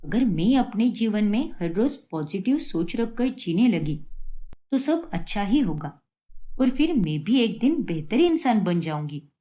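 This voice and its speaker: A woman's voice: mid-range voice, sing-song, measured pace, mellow. Woman acting a jealous daughter-in-law, melodramatic lilt. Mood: remorseful. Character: jealous, scheming daughter-in-law, later repentant. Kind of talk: acted Hindi moral-story cartoon.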